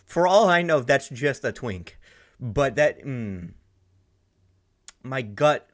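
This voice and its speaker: A man's voice: mid-range voice, expressive, slow pace. A man hosting a reaction video, rambling and thinking aloud with lots of fillers. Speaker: reaction channel host. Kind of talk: casual reaction commentary.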